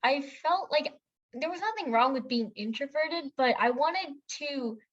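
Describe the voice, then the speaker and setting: A woman's voice: high voice, animated, a little slow, fairly bright tone. Chatty young woman, dull lo-fi sound. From India. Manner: chatty storytelling with many fillers and self-corrections. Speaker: podcast co-host. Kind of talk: casual chat.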